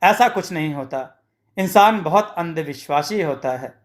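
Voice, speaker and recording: A wheedling beggar from a storybook tale, performed by a man, with a high voice. He is a small-time swindler, ingratiating and keen to persuade. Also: expressive, measured pace. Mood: pleading, persuasive.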